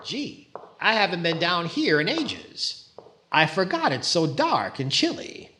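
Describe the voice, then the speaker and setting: Vain, easily miffed comedy star, acted by a man; high male voice, lively swings, old-time radio timing, noisy track. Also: a little slow, full character voice. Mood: musing. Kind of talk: 1940s radio comedy acting.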